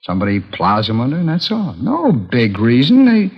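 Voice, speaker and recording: Chatty, nonchalant killer, lively pitch. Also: male voice, moderate pace, husky, full character voice. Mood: insistent, indifferent. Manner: rambling, matter-of-fact, folksy. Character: chatty, nonchalant male killer confessing without remorse. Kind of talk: 1950s radio acting. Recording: dull 1950s radio recording, hall reverb.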